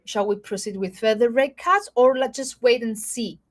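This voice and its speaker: A woman from Cyprus with a Greek Cypriot accent, explaining markets in a live webinar, halting with many fillers and restarts and often checking in with "okay?". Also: a little high. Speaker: market analyst.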